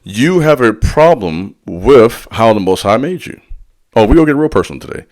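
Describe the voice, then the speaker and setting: A man's voice: medium pitch, sing-song, moderate pace, darkish tone. Man, spiritual teacher, preacherly, slangy, direct address, call-and-response asides. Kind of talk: motivational spiritual talk.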